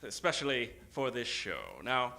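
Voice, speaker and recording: A man hosting a live old-time radio show as a grandiose, theatrical announcer with dramatic pauses. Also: medium pitch, bright, forceful tone. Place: theater stage, before an audience. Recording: live stage show with music behind. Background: music.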